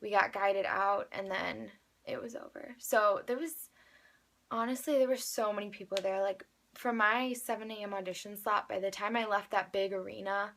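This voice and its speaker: A woman's voice: medium pitch, steady tone, normal speed, gravelly, a bit bright. A woman vlogger telling a personal story in a casual, chatty, rambling conversational way.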